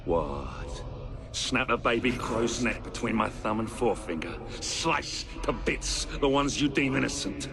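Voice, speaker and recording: Male game villain: manic theatrical showman, wildly swooping pitch, gravelly creak. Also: expressive, music in the background. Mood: mocking menace. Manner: grandiose, mocking, drawn-out flourishes. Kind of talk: video game cutscene acting.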